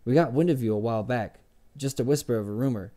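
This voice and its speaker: A man's voice: medium pitch, fast, warm tone, lightly acted. A man voicing an earnest, slightly self-important story collector in an audiobook, eager and hesitant as he pleads his case.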